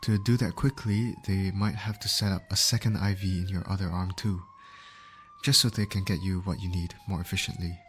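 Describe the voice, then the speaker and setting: A low-pitched male voice , acted by a man, plays a gentle medic in an ASMR roleplay. He is attentive and coaxing, steadily reassuring an injured patient. Faint siren and vehicle noise sit behind him. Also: steady tone, brisk, close to an everyday voice. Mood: concerned, soothing. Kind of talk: ASMR comfort roleplay acting.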